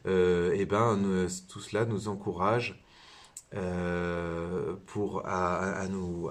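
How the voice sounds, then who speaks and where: A man, a priest and religious brother from southern France, giving an informal spiritual talk with many hesitations and restarts. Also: fairly low pitch. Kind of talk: informal spiritual teaching to camera.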